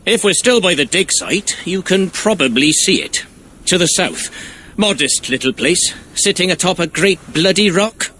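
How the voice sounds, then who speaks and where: Plain-spoken dwarf, highish forceful voice, cheeky, wry, colloquial, video game character. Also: male voice, animated, normal speed, a little hiss or hum. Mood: bitter, wary. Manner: chatty, wry, colloquial cursing. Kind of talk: cinematic video game acting.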